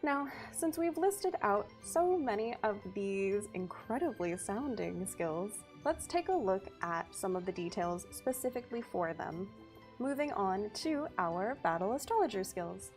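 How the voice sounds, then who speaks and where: A woman's voice: medium pitch, very animated, measured pace, a little mellow, soft background music. A woman, a community manager, presenting game update details on a livestream in an upbeat, giggly manner. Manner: upbeat, giggly, reads skill details steadily.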